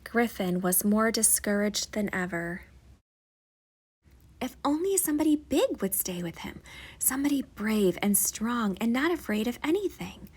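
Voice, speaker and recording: A woman reading a children's bedtime story aloud, with a breathy voice and a dramatic, drawn-out storybook delivery. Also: fairly high pitch, big pitch swings, a little mellow. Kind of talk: expressive bedtime story narration.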